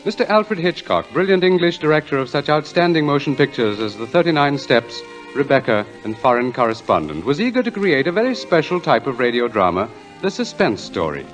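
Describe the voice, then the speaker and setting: A man's voice: mid-range voice, sing-song, fairly quick. A man announcing a scripted radio program in a formal, dramatic 1940s broadcast style. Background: faint music.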